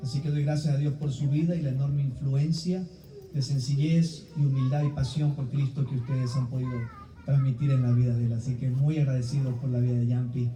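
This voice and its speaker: A man's voice: medium pitch, flat delivery, a little fast, warm tone. A middle-aged man with an Ecuadorian Spanish accent speaking as a guest preacher to a church congregation. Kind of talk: sermon with personal introduction. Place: church hall, recorded from a distance. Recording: roomy, distant mic, faint background noise.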